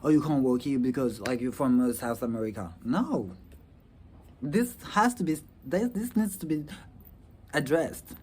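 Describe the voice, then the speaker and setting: A man's voice: mid-range voice, expressive, darkish tone, a little hiss or hum. Earnest young man from Rwanda. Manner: earnest, halting, many fillers and restarts. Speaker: vlogger. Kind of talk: personal reflective talk to camera.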